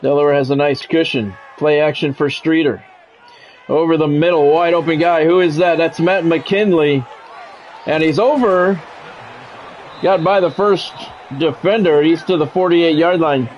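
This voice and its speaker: Higher-voiced man calling football play-by-play. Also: measured pace, darkish tone, muffled recording, a little hiss or hum. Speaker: sports video game streamer doing play-by-play. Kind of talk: football play-by-play commentary with casual reactions.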